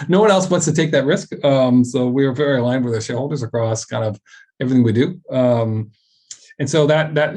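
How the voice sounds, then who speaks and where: A man with a mid-pitched voice gives a business presentation as a company chairman on an earnings call. His delivery is semi-scripted and halting, with frequent restarts and repeated words. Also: darkish tone.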